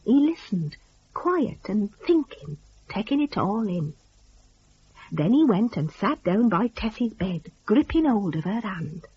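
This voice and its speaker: A woman storyteller with a husky voice and very lively pitch. She narrates dramatically in folksy rustic phrasing, as if talking to you. The audio is a little dull and lo-fi. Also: measured pace.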